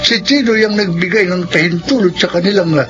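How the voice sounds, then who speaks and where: A man's voice: high-pitched, fairly quick, a bit bright, faint music behind. An actor playing a kindly old grandfather in a radio drama, with an earnest, folksy, concerned manner. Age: older. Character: kindly old grandfather figure, protective drinking companion. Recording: dull lo-fi radio drama recording.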